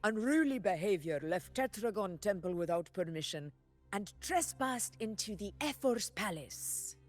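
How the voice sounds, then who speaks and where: A woman's voice: mid-range voice, animated, measured pace, reverberant, big-room sound, close to an everyday voice. A woman playing a proud, fearless young female ruler in an anime game, imperious and composed. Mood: composed, dryly teasing. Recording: game audio over background music.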